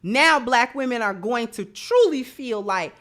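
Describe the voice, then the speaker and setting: A woman's voice: a little high, animated, measured pace, fairly bright tone. An American woman hosting opinionated live commentary, speaking emphatically and repeating phrases for stress. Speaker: host of a commentary show.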